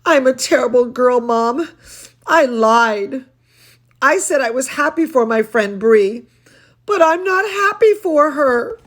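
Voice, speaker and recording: Woman acting a little girl, storybook heroine, high voice, slow emphatic delivery, earnest, theatrical. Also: expressive, full character voice. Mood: guilty, upset. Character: dramatic, earnest little girl narrator who loves dancing. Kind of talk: children's storybook read-aloud.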